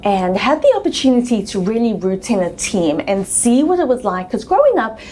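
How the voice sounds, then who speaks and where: A woman's voice: on the high side, very animated, fairly quick, warm tone, slight background noise. A woman in her thirties with a South African accent, telling her story as an interview guest in a chatty way with frequent ums.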